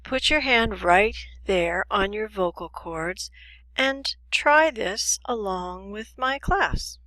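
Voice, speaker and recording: A woman teaching English pronunciation in a scripted lesson, enunciating deliberately and carefully. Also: medium pitch, animated, sharp tone, no echo, low-quality recording. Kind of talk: scripted instructional lesson with demonstrations.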